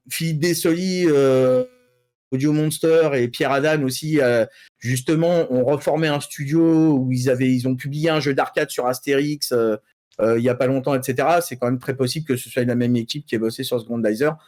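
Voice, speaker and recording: A man talking casually as a panel columnist on a geek news show, with halting delivery and drawn-out fillers. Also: medium pitch, darkish tone. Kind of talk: casual news presentation and discussion.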